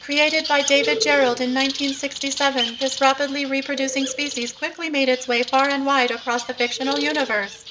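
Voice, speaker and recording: A woman's voice: sits high, fairly even intonation, a little fast, hardly any pauses, natural voice. A woman playing a precise, helpful ship's computer assistant, formal and informative, in a sci-fi audio comedy. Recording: clear background noise with clicks.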